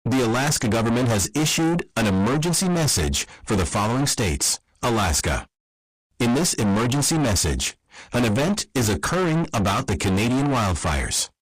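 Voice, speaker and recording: A husky-voiced man reading scripted emergency alert announcements. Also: medium pitch, very animated, moderate pace, dry recording, low-quality recording.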